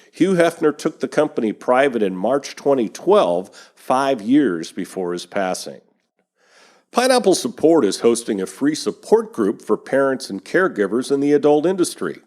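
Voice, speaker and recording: A man's voice: medium pitch, normal speed. Male podcast host reading news. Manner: steady read-aloud delivery. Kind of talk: scripted news reading and interview hosting.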